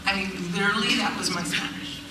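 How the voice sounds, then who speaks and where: A woman's voice: medium pitch, a little fast, heavy background noise. A middle-aged woman speaking on a panel as a teacher, earnest, with fillers and restarts. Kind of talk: panel talk. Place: event hall. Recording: echoey hall, far from mic.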